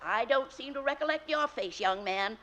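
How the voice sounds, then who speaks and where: Lively, folksy diner woman. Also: a little high, moderate pace, a little hiss or hum. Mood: chatty. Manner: garrulous, folksy, ungrammatical country talk. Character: chatty, folksy woman running a drive-in diner. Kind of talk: 1940s-50s radio drama acting.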